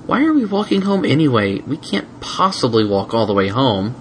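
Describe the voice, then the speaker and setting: A man's voice: mid-range voice, big pitch swings, moderate pace, a little hiss or hum, pitched above the performer's own voice, lightly acted. A man voicing a sulky, grumbling baby boy dragon who whines and complains indignantly in a storybook reading. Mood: whining complaint.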